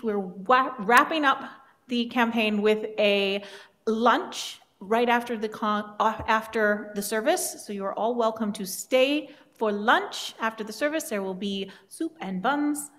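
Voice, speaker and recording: A woman making quick, chatty announcements at a church lectern, with small slips. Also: a little high. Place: church sanctuary. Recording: long church reverb.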